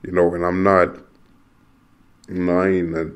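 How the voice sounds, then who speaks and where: A young American man with a very low voice hosts his own podcast alone. He rambles restlessly, circles back over the same phrases and swears now and then as he rants. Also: little pitch movement, measured pace, clean tone. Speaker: podcast host. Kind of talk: rambling solo monologue.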